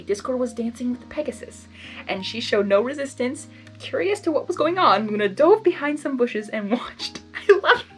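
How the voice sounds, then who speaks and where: A woman reading a story aloud as an amateur, with casual, giggly, self-deprecating asides and stumbles over words. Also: a little high. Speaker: amateur fan fiction reader.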